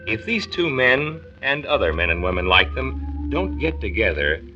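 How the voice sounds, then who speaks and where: Persuasive doctor-narrator, male, dignified and measured with a bright, forceful edge; old-time radio, muffled transcription. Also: medium pitch, sing-song, faint music behind. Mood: solemn, earnest. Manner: measured, emphatic, formal. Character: persuasive, dignified male county health commissioner. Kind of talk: scripted 1940s radio narration. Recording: dull 1940s radio transcription.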